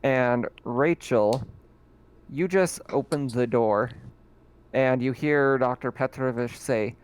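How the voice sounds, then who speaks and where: Male game master, unhurried delivery, halting with fillers. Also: medium pitch, smooth. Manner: slow, halting narration with fillers and laughs. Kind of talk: narrating a tabletop game.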